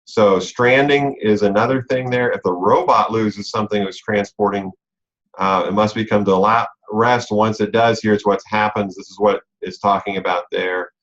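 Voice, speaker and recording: A man's voice: fairly low pitch, fairly even intonation, moderate pace, fairly bright tone. An American man explaining rules informally and step by step, as a coach leading a conference call. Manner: conversational, explanatory, talks through rules step by step. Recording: dull, lo-fi conference call audio.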